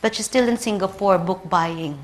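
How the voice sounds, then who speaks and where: A woman's voice: medium pitch, normal speed, a little hiss or hum. A woman in her thirties with a Filipino accent, a native Tagalog speaker, giving a conference lecture and telling personal stories with light humor. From Philippines. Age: 30s.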